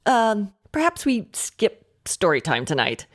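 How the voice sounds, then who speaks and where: Earnest nurse: polite, hesitant, animated pitch, for a comic audio drama. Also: female voice, unhurried. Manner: prim, courteous, hesitant. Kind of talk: comedy audio drama acting.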